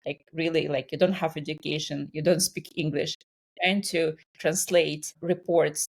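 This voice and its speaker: Ukrainian-accented woman, low voice. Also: steady tone, normal speed, breathy edge. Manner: non-native English with grammar slips, many fillers. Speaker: podcast guest. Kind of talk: casual storytelling interview.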